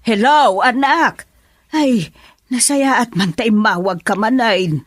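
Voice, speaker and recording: A woman's voice: fairly high pitch, slow. A woman with an Ilocano accent playing a worried middle-aged mother in a radio drama, pleading and anxious. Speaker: mother character.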